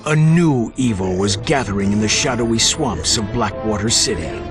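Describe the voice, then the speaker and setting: An actor playing a prim robot butler who narrates a comic in a formal, deadpan way. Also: male voice, lowish voice, normal speed, music in the background, close to an everyday voice. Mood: dramatic narration.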